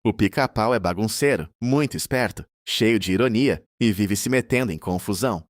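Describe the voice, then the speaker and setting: A Brazilian man with a fairly low voice hosts and narrates a horror tale. He speaks as a storyteller addressing his viewers, at an even, ordinary pace. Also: moderate pace, no room sound, studio quality. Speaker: narrator and host.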